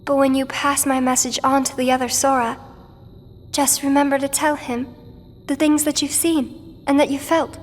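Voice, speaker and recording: A woman voicing a gentle, wistful teenage girl in a video game cutscene, speaking softly and earnestly. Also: high voice, flat delivery, moderate pace, close to an everyday voice. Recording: game audio over loud music. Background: music.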